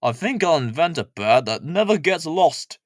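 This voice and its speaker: A man's voice: fairly high pitch, big pitch swings, moderate pace, dry recording, clean studio sound, voice pushed up. Man playing an easygoing village handyman. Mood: musing.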